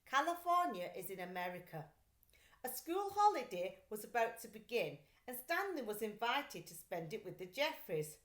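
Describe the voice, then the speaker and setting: Animated woman reading a children's story. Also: a little high, moderate pace. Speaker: teacher reading aloud. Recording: echoey room.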